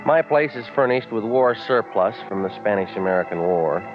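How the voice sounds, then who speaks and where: An actor in 1940s radio drama playing a cynical, wisecracking private eye, terse and deadpan in narration and dialogue. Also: male voice, fairly low pitch, moderate pace, natural voice. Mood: deadpan, jaded. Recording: muffled vintage 1940s radio with music.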